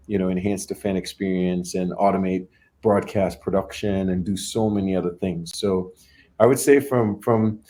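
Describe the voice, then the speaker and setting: A man's voice: deep voice, mellow. A young adult man from Trinidad and Tobago, a startup founder talking casually as an interview guest, often repeating and restarting his words.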